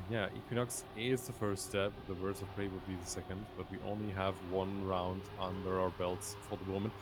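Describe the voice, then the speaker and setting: A man's voice: low-pitched, noisy background. A man commentating live on a racing game as a play-by-play esports caster.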